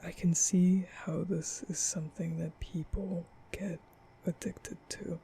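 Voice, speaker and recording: Man playing a soft, half-whispering nervous vampire boy. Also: high voice, little pitch movement, a little hiss or hum, full character voice. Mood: weary, frustrated. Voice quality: breathy, often half-whispered. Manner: halting, stammering, rambling, sighing. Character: nervous, apologetic young male vampire, guilt-ridden and soft. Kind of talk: intimate ASMR roleplay monologue.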